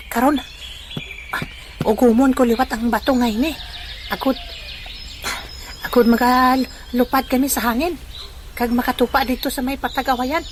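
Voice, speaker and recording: A woman's voice: sits high, a little hiss or hum. A woman playing an eager, comical uncle in an audio drama, with a Filipino accent and an exclamatory, repetitive delivery. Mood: chanting, excited. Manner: exclamatory, repeats phrases.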